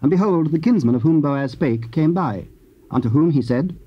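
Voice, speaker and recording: A man giving measured, solemn scripted scripture narration. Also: on the high side, animated, breathy edge. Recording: dull old cassette tape.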